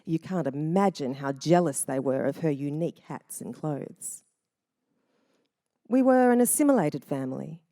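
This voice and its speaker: A woman narrating a family memoir in a measured, reflective first-person reading. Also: low voice, expressive, warm tone, no echo, clean studio sound.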